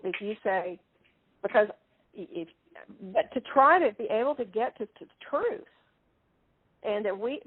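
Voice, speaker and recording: A woman's voice: medium pitch, breathy edge, no echo. Persistent woman on a thin phone line. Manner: halting, persistent, frequently rephrasing. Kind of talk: argumentative phone conversation. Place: conference phone call. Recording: narrow, tinny phone line.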